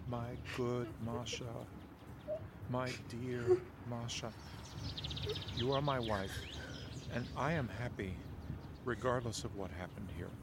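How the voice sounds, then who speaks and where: An actor playing a kind, fussy schoolteacher husband who talks in a soothing, earnest way. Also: male voice, medium pitch, steady tone, slow pace, pauses often, background noise. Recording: outdoor birdsong and ambience. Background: birds, outdoor ambience.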